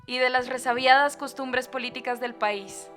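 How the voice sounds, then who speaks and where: A woman's voice: sits high, steady tone, normal speed, fairly bright tone, faint music behind. A woman with a Colombian accent hosting a podcast with scripted narration. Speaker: podcast host.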